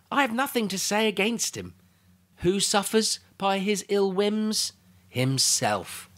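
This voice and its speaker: A man voicing a cheerful, good-natured young gentleman in a hearty, exclamatory way, as in a Victorian storybook. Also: high voice, exaggerated voice. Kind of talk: Victorian storybook character dialogue.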